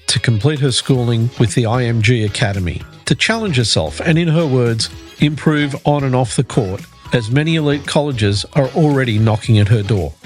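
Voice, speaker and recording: A man hosting a sports podcast, reading an introduction and then asking questions in a relaxed way, with "sort of" and "you know". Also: mid-range voice. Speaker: podcast host.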